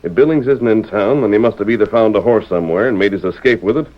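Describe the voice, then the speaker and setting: A muffled old radio recording presents a man acting as a resolute frontier hero. His low voice is earnest and authoritative, with formal, deliberate diction in classic radio drama style. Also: close to an everyday voice. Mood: serious, probing. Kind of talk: 1940s radio western acting.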